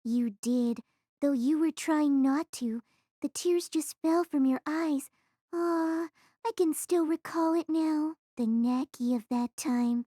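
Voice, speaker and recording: Woman voicing a high, soft-toned chatty teenage girl. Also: slow pace, no echo, clean studio sound. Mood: teasing. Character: chatty, blunt, curious teenage girl student. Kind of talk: audio drama character dialogue.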